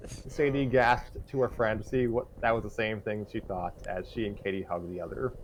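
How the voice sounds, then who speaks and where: Low-voiced man narrating, faint noise. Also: fairly even intonation, moderate pace, low-quality recording. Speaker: host and narrator. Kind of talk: live fan story read-along narration.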